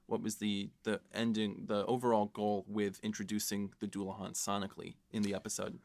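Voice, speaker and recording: A man's voice: fairly low pitch, level tone, fairly quick, gravelly, a little mellow, dry recording. A man co-hosting a podcast, chatting casually and enthusiastically and putting questions to his co-writer. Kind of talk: casual behind-the-scenes chat.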